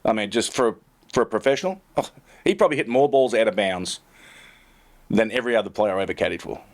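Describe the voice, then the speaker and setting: A man's voice: lowish voice, quick pace. A man who works as a tour caddy, telling rambling, self-deprecating stories in a casual podcast chat. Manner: rambling, self-deprecating, laughs through anecdotes.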